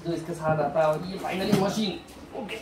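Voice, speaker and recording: A man's voice: high voice, moderate pace, a crowd in the background. A man casually presenting a product unboxing, informal and repetitive as he works, recorded outdoors on a porch from a camera a few metres away. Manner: informal, hands-on, repeating himself while handling items. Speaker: product reviewer. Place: outdoor porch by house steps. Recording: roomy, distant mic, clearly noisy, clicks.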